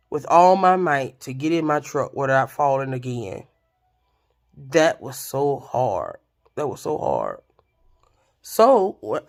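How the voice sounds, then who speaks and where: A woman, casually telling a story to her viewers. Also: low-pitched. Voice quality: raspy voice. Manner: chatty, expressive, addresses viewers directly. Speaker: vlogger.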